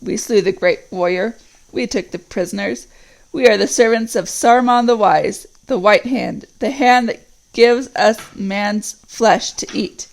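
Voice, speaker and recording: A woman acts out a hulking orc captain in a storybook reading. He growls slow and heavy, with a gravelly edge, and barks orders as if nobody dares argue. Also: mid-range voice, poor audio. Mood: boastful. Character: huge male orc captain, commanding, brutal.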